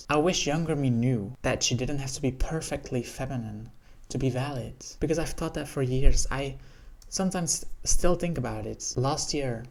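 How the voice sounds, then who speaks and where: A woman with a lower-pitched voice, sharing personal reflections in an educational vlog, earnest and candid. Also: darkish tone. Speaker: vlogger.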